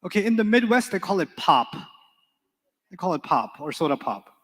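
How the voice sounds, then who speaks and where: A man whose first language is Urdu, teaching an Arabic class in a relaxed, joking manner and quizzing his students. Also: high voice, moderate pace, slightly rough, big-room sound. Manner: playful, joking, quizzes students in call-and-response. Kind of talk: live classroom lecture with storytelling.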